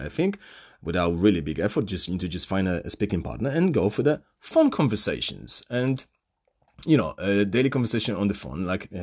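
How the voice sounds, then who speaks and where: Podcast host: Polish-accented man, fairly low voice, very animated delivery, teacherly solo talk into a phone. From Poland. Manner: animated, rhetorical questions, frequent fillers.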